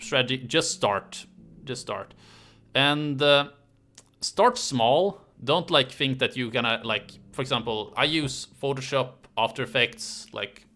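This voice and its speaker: A man chats casually on a live stream, rambling and halting as he answers viewers. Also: mid-range voice, bright, forceful tone. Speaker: YouTube history creator hosting a live stream.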